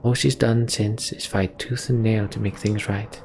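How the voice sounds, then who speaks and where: A weary fantasy warrior in a game, read by a man, confessing gravely and haltingly. The voice is low and gravelly, weighed down with guilt. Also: steady tone, darkish tone, a little hiss or hum. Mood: regretful.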